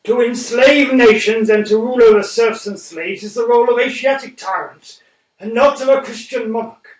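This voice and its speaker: A man's voice: very high-pitched, moderate pace. A man playing an impassioned Cossack leader. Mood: defiant. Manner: impassioned oratory. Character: defiant Cossack leader pleading before a tsar. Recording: roomy, distant from the mic.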